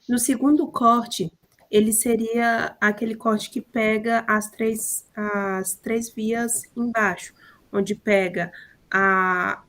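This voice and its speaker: A woman with a hoarse voice presents to her class. She explains technical drawings one at a time in a steady way. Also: mid-range voice. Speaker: architecture student.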